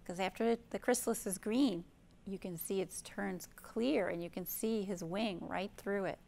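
A woman's voice: medium pitch, normal speed, creaky, darkish tone, a little hiss or hum. A middle-aged American woman reading and explaining simply and expressively to young children, as a librarian hosting storytime. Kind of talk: children's storytime read-aloud and show-and-tell. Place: indoor library room.

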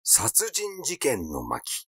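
A man's voice: medium pitch, huge pitch swings, drawn-out words, fairly bright tone, slightly rough, dry recording, clean studio sound. A man reading a literary mystery story aloud as its narrator. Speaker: audiobook narrator.

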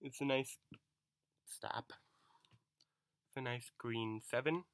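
A young man with a cold chatting excitedly through a toy unboxing at home, recorded on a camera mic. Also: medium pitch, takes it slowly. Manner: excited, chatty, self-deprecating. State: coming down with a cold. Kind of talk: casual unboxing commentary. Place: small home room, bedsheet backdrop.

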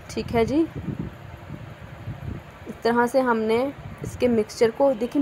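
Woman salon stylist, slightly high-pitched, chatty how-to delivery, phone mic with faint noise. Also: poor audio. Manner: chatty, repeats "theek hai ji" often. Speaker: hairstylist and channel host. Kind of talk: casual how-to tutorial narration. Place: hair salon.

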